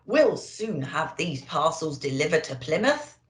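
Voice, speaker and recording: A woman voicing a jolly old Santa Claus with a booming delivery, as in a children's storybook. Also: sing-song, measured pace. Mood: merry. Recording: roomy echo.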